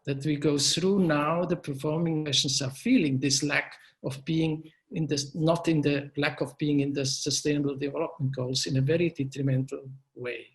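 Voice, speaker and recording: A man's voice: a little high, steady tone. Earnest man. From Swiss and Italian. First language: German. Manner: earnest, slightly halting non-native phrasing. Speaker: poet and organization director, panel presenter. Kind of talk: prepared presentation on a video call. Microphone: video call.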